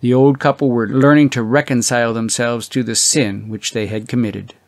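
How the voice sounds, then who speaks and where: A man reading literary fiction as an audiobook narrator, in a measured, dramatic storytelling manner with drawn-out emphasis. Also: mid-range voice, fairly even intonation, dry recording.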